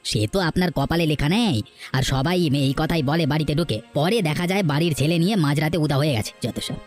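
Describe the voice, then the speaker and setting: Man acting fast-talking, grumbling village householder. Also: fairly high pitch, soft background music, close to an everyday voice. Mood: suspicious, dismissive. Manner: curt, grumbling. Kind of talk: Bengali cartoon folk-tale dialogue acting.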